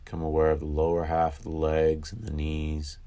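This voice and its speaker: Very deep-voiced man guiding meditation. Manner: calm, instructive, with long pauses between phrases. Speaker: meditation guide. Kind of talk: guided sleep meditation.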